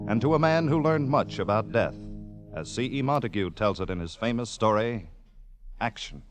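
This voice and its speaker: Animated male radio announcer over music. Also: fairly low pitch, moderate pace. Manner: dramatic, formal. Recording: 1940s radio broadcast recording.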